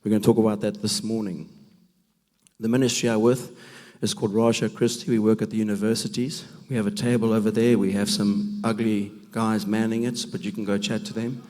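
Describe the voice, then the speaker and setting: A man with a rough, gravelly voice from a cold, giving a preaching talk at a men's conference, emphatic and full of rhetorical questions. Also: lowish voice, level tone, a little fast, darkish tone. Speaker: conference speaker. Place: church hall. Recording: long reverb of a large hall.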